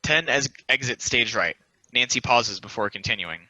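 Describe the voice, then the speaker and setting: A man narrates stage directions from a script. His voice is very bright and forward, at a medium pitch. The sound is close and dry. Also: expressive, moderate pace, dry recording, low-quality recording.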